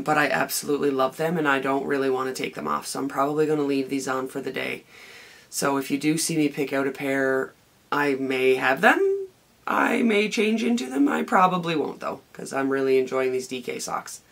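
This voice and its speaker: A woman in her forties with a hoarse, raspy voice, chatting casually in her home vlog as a knitting podcaster, rambling with many ums and restarts. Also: low-pitched. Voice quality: gravelly. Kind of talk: casual daily vlog chat. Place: home craft room with shelves, indoors.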